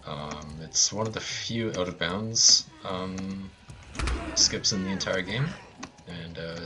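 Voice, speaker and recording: Low-voiced male speedrunner, halting, offhand live commentary with dry humour. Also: background noise. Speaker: speedrunner commentating own run. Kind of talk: casual live gameplay commentary.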